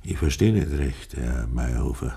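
An actor playing a polite male police inspector who questions in a formal, hesitant, measured way, in a 1950s German radio play. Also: a bass voice, lively intonation, vocal fry, mellow, slightly rough, exaggerated voice. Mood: puzzled, tactful.

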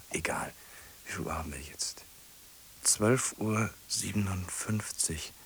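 An actor playing a solitary middle-aged man in a radio drama, ruminating in short questioning fragments with a husky, breathy voice. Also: low-pitched, a little hiss or hum. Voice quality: husky, breathy, often sinking to a whisper. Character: solitary man fixated on a lonely woman, musing.

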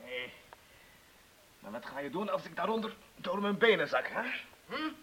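Tense, husky, touchy miner. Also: male voice, high voice, lively intonation, normal speed, bright, forceful tone, heavily acted. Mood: testy. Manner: defensive, grumbling, testy. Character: nervous, touchy male ex-miner digging for burglars. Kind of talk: radio play acting.

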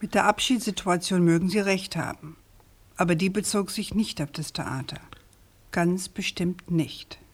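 An actress playing a probing, composed woman journalist in a German radio crime drama, speaking in a measured, articulate and insistent way. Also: lowish voice, lightly acted. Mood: quietly skeptical. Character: persistent, probing female journalist, composed and precise.

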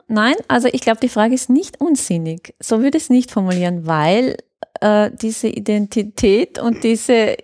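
A woman's voice: on the high side, animated, moderate pace, a little mellow, no echo, studio quality. A woman explains things thoughtfully in a conversational interview, often searching for words. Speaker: interview guest, youth worker.

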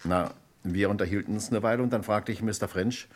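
An actor playing a nervous, plain-spoken neighbour as a witness in an old German radio crime drama, halting and colloquial yet emphatic. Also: male voice, on the low side, steady tone, close to an everyday voice. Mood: uneasy. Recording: vintage radio play with clear background noise.

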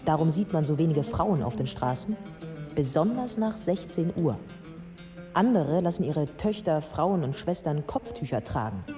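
An actress playing a candid, resolute young Iraqi woman, narrating a radio drama in a measured, articulate, earnest way. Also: sits low, big pitch swings, dark tone, muffled recording, soft background music.

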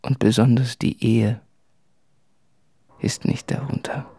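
An actor playing an anguished, self-doubting man who speaks in a brooding, deliberate, confessional way in a literary radio play. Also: medium pitch, little pitch movement, creaky, warm tone, breathy edge, whispered, heavily acted. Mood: bleak, measured. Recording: music under the voice.

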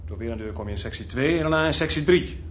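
Male actor as brusque security chief, condescending, animated pitch, crime radio drama, faint noise. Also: quick pace, natural voice. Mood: curt, superior. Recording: noisy archived radio drama recording.

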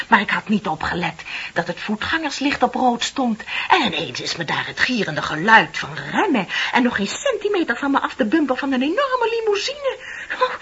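An actress in a radio play voicing a middle-aged single woman, gushing, dramatic and romantically deluded, confiding in breathy swells. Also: fairly high pitch, fairly bright tone, heavily acted. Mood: breathless excitement. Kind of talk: Dutch radio play acting. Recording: dull, lo-fi radio play recording.

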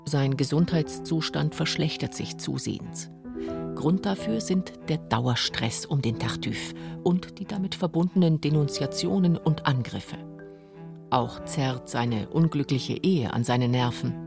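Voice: medium pitch, unhurried, gravelly, music in the background. A narrator reading a scripted radio documentary in a studio.

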